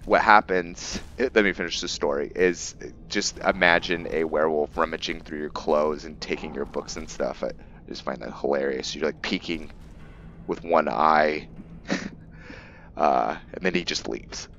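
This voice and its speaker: A man giving casual gameplay commentary on his roleplay playthrough, musing aloud in a halting way. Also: rumbling low, fairly even intonation, fairly bright tone. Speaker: gamer and streamer narrating his roleplay playthrough. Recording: muffled audio with faint background noise.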